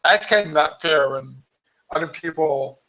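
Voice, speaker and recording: A hoarse man chatting casually on a live stream from home, rambling with many fillers. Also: a little high, unhurried, sharp tone. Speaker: vlogger hosting a live stream. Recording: slightly dull lo-fi live stream audio.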